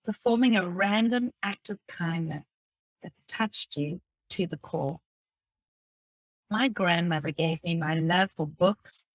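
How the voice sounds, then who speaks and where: A woman's voice: mid-range voice, no room sound, rough recording. Woman reading self-help aloud, dry close sound. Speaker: reader of a self-help book. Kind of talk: scripted read-aloud of a motivational audiobook text.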